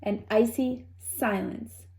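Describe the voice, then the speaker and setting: A woman reading a children's story aloud with expressive narration and dramatic, deliberate phrasing. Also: fairly high pitch. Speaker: storybook reader.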